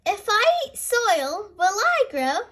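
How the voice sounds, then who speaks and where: A woman acts out a little boy puppy in a storybook, high-pitched and bouncy. The pup is playful and inquisitive, with an eager childlike delivery. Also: sing-song, takes it slowly, sharp tone, full character voice.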